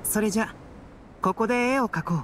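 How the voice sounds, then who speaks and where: Calm, scholarly young artist voiced by a man. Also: high-pitched, fairly quick, background noise, lightly acted. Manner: gentle, polite, composed. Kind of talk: anime-style game voice acting. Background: background music.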